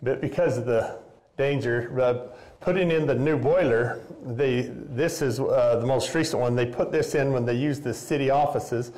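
An older man walks and talks through a boiler room, rambling in a relaxed, folksy way. He fills his talk with "uh" and "um" and restarts his sentences often, like a former custodian sharing memories on a casual tour. The sound is picked up in a basement by a camera that follows him. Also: medium pitch, normal speed, poor audio. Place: basement boiler room of an old school building.

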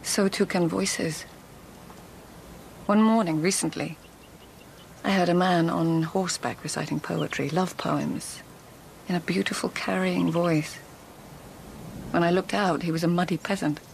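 An actress playing a poised, poetic court lady in a radio drama, refined and measured, at times hushed. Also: fairly low pitch, fairly even intonation, creaky, a little hiss or hum. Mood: cool, guarded. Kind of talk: radio drama acting, poetic narration and dialogue.

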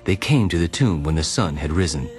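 Very deep-voiced male scripture narrator. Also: expressive, fairly quick. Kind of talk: solemn dramatized scripture narration. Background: music.

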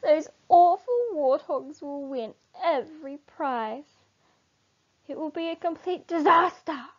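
Woman as an indignant, high-pitched jungle animal. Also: lively intonation, takes it slowly, dry recording, dull audio. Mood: horrified. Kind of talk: read-aloud children's storybook.

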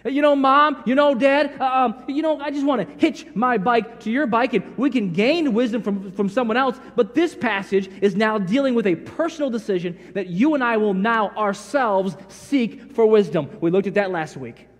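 A middle-aged man preaching a sermon in a large church sanctuary, folksy and animated, acting out bits of dialogue as he tells stories. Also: very high-pitched, moderate pace, few pauses. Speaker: pastor. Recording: long reverb of church hall.

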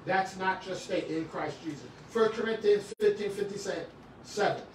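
A middle-aged man preaching a sermon in a small church, swinging from shouts to quiet talk with many restarts. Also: high voice, a little slow, a little hiss or hum. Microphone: far camera. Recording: echoey room, far from the camera.

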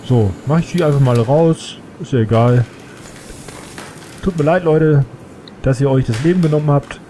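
A man's voice: a little high, normal speed, warm tone, a little hiss or hum. A man who has a cold, chatting casually and thinking aloud as he plays a game. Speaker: let's play gamer. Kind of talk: casual gameplay commentary.

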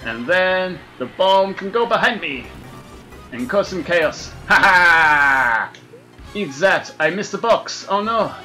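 A man doing comic gameplay commentary in a fake French accent, excitable and hammy, laughing at his own jokes. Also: high-pitched, slow pace, soft background music. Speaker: let's play gamer.

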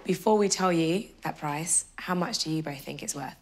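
A woman's voice: low-pitched, moderate pace. A woman co-presenting a home makeover, chatting with homeowners in an enthusiastic way. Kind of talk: casual chat on reveal.

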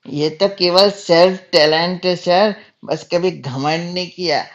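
A man's voice: high voice, fairly even intonation, slow pace, a bit bright, dull audio, heavily acted. A man voicing an insolent young boy who makes taunting, mock-polite prank calls in a comedy sketch.

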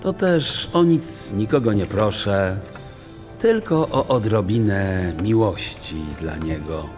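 A man tells a children's story with theatrical, deliberate phrasing. His somewhat low voice rises and falls widely as he takes his time over literary sentences. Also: lively intonation. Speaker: audiobook narrator. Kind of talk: expressive children's storybook narration. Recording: music under the voice. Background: music.

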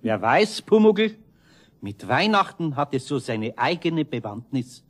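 Husky Bavarian craftsman with swooping pitch. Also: sing-song, a little slow. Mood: consoling. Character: older male master craftsman, grumbly but kindly. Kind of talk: 1970 German children's radio play acting.

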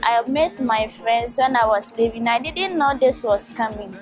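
A woman's voice: on the high side, soft background music. A young woman from Ghana, a recent graduate, speaking carefully outdoors with a few restarts. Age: young adult. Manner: slow and careful, some restarts. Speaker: high school graduate. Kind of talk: casual testimonial. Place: outdoors by a stone wall.

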